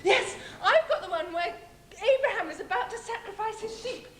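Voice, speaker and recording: Piercingly high, gleeful small child. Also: female voice, expressive, moderate pace, fairly bright tone. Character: gleeful, mischievous small child, youngest sibling. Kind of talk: stage pantomime acting. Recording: echoey, very noisy stage recording.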